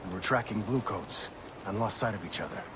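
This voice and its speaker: Man playing a solemn deep-voiced Native warrior. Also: background noise, natural voice. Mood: concerned, earnest. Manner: measured, formal speech. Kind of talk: video game dramatic acting.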